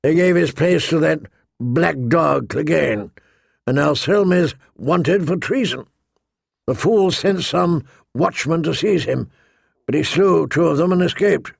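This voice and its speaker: A man voicing a gruff, grumbling old male military commander who sounds weary and disdainful. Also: on the high side, measured pace, dry recording, clean studio sound, exaggerated voice.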